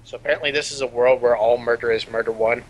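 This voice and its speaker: A man's voice: medium pitch, steady tone, fast, soft background music, rough recording. A young man chatting casually and rambling, with lots of fillers, between game scenes. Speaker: player. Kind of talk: casual chat.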